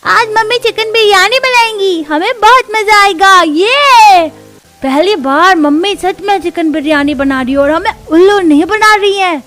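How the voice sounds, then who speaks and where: A woman voicing a cheeky, excitable village child in a cartoon. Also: very high voice, measured pace. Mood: gleeful.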